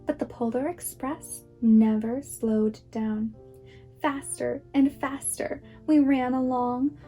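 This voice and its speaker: A woman reads a children's storybook aloud at a very unhurried pace. Her voice is mellow and somewhat high, with light music behind. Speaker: guest storybook reader, preschool teacher. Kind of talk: children's picture book read-aloud.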